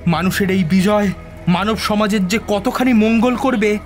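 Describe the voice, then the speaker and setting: An actor playing an obsessed, triumphant scientist who defies God, declaiming theatrically in speech for an audio drama. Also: male voice, sits high, normal speed, soft background music, natural voice. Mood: brooding, defiant. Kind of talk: dramatised audio story acting.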